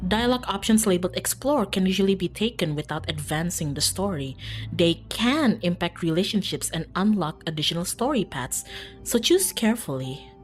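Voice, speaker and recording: A woman's voice: lowish voice, animated, normal speed. A woman streaming a game, reading aloud and commenting casually in an informal, giggly, self-interrupting way. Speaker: streamer playing and reading aloud a visual novel. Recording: music behind.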